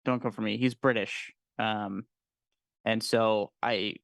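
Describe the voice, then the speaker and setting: A man's voice: fairly low pitch, fairly even intonation, slow, creaky, a bit bright, dry recording, studio quality. A young man co-hosting a livestream, chatting casually and enthusiastically about films with informal slang and some swearing. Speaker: livestream co-host.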